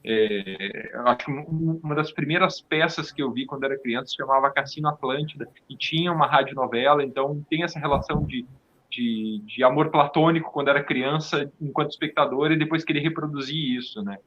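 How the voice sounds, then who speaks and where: A man chatting casually as an interview guest, halting and repeating words as he goes. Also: medium pitch, a bit bright. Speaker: podcast guest, audio drama maker. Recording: dull, lo-fi sound.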